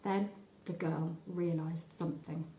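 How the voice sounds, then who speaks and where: Slow, mellow woman reading a story. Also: sits low, echoey room, slight background noise. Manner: measured, expressive storytelling with deliberate pauses. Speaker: story reader. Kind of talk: scripted narration of a short story.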